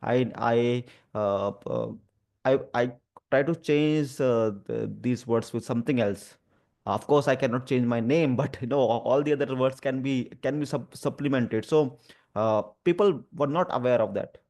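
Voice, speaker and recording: A man with an Indian accent and a stammer, speaking haltingly and earnestly as an advocate sharing personal experience. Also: mid-range voice, darkish tone. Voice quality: stammer, with repeated sounds and blocks. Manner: hesitant, halting, earnest phrasing. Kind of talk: personal talk about lived experience, conversational.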